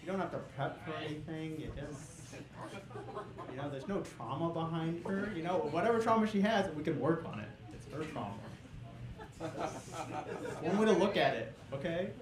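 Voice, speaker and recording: A young man doing a stand-up comedy set on a club stage, hyping the crowd and then dropping into deadpan setups. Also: fairly high pitch, moderate pace. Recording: echoey club with crowd noise.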